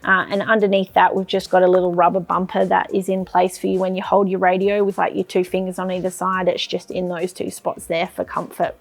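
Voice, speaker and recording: A woman's voice: lowish voice, little pitch movement, a little fast, creaky, few pauses. An Australian woman presenting an informal, unscripted product demonstration, explaining step by step with some hesitation. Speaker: product presenter for an outdoor shop's channel.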